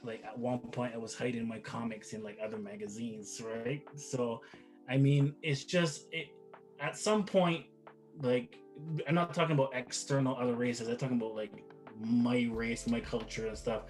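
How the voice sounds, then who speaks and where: A man's voice: mid-range voice, steady tone, normal speed, faint music behind. A middle-aged man from Barbados, a guest father chatting casually in an interview, rambling with frequent restarts and repeated words.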